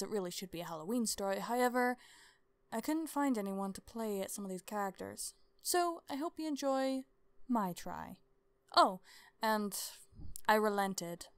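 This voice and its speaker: A woman narrating a story as host, in an expressive, measured, dramatic storybook style. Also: medium pitch, dry recording.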